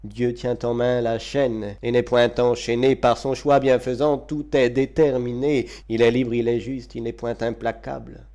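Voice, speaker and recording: Male poetry reader, mellow tone, very slow pace, solemn declamation, deliberate phrasing. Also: medium pitch, level tone. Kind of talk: dramatic recitation of classical French verse.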